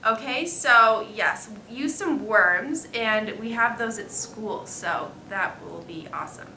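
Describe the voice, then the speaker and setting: A female student giving halting, scripted explanations as an expert guest in a mock interview. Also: fairly high pitch, expressive, bright, forceful tone. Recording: echoey room with faint noise.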